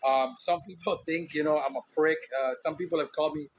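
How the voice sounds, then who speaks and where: A man's voice: on the high side, steady tone, fairly quick. Candid Cuban Miami accented man. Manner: rambling, candid, lots of ums. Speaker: podcast host. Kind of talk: casual monologue.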